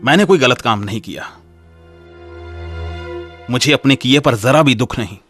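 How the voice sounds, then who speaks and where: A man's voice: mid-range voice, lively intonation, moderate pace, fairly bright tone, soft background music, lightly acted. A man acting a defiant young student. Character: defiant, hot-headed young male student, wounded and bitter. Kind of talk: radio drama acting.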